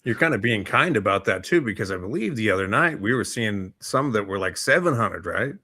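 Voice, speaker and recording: A man's voice: lowish voice, lively intonation, fairly quick, bright, forceful tone, no echo. A man co-hosting a chat show in a casual, conversational way. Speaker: co-host of a morning chat show.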